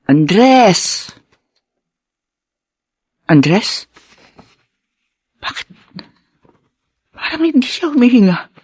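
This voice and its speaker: A woman playing a devoted middle-aged wife and mother in an emotional audio drama, anxious and expressive. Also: fairly high pitch, extremely slow, pauses often, breathy edge, no room sound, clean studio sound, full character voice. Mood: drowsy, uneasy.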